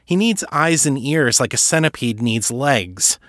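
Man as a sharp, higher-pitched woman detective. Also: lively intonation, measured pace, no echo, clean studio sound. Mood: skeptical. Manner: pointed, challenging, emphatic.

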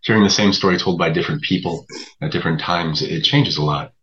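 Really deep voiced guy explaining stuff in an interview, halting, audio a bit dull. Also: a bit bright. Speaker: writer, interview guest. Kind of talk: conversational explanation.

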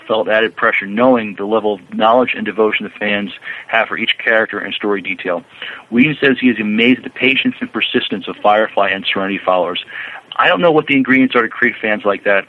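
A man co-hosting a podcast, reading news and commenting in a halting, informal way. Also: on the low side. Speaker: podcast co-host. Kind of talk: reading news aloud and casual chat. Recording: dull, lo-fi, radio-like sound.

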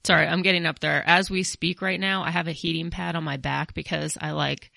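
Gravelly-voiced young woman host. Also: deep voice, steady tone, a little fast, sharp tone, no echo, clean studio sound. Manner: chatty, self-deprecating, with "like" fillers. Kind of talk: casual conversation.

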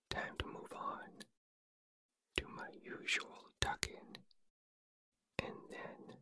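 A man hosting a sleep relaxation podcast in a breathy whisper, speaking in a gentle, soothing ASMR style. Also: very high voice. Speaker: podcast host and relaxation guide.

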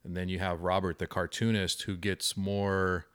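A man with a fairly low voice chats as a podcast co-host. He explains and comments on a film casually, and the sound is dry, with no room echo. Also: flat delivery, normal speed, a bit bright, smooth.

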